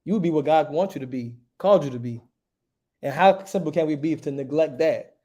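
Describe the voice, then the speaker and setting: A man's voice: a little fast, mellow. Emphatic preachy man teaching a class. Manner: emphatic and preachy, asks "right?" often and says "boom". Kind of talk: livestreamed religious history class, reading aloud and preaching.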